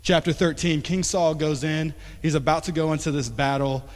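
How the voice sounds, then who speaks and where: A man in his late twenties preaching a sermon as pastor, talking conversationally and swinging from emphatic to quiet. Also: fairly high pitch, fairly even intonation, normal speed. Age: 20s. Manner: conversational, rising to emphatic then dropping soft. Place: church sanctuary. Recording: long church hall reverb.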